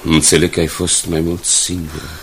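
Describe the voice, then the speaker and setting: An actor playing a kindly ageing father and company director in a radio drama, speaking in a courteous, measured, fatherly way. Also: low-pitched, flat delivery, vocal fry. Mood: tender, grateful. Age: older. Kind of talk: Romanian radio drama acting. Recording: 1980s radio drama, faint background noise.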